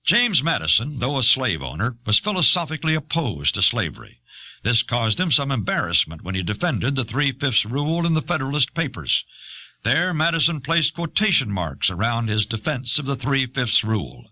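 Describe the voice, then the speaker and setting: Man, very bright forceful voice, animated pitch movement, measured formal narration, scripted documentary, old cassette recording.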